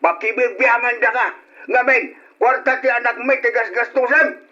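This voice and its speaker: A man's voice: extremely high pitch, fairly even intonation, moderate pace, bright, forceful tone, full character voice. An actor playing a scolding, meddling elderly father-in-law in an old radio drama, indignant and reproachful. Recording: dull lo-fi radio drama recording.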